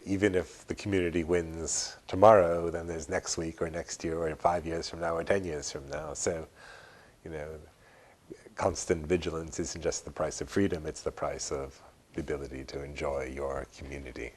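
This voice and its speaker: A man's voice: extremely low pitch, flat delivery, moderate pace. A man giving reflective thoughts in an interview. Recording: faint background hiss.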